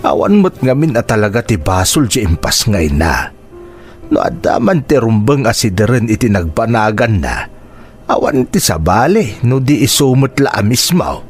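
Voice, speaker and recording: A man's voice: fairly low pitch, sing-song, moderate pace, faint music behind. An actor with an Ilocano Filipino accent playing a stern, burdened older father in a radio drama. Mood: earnest.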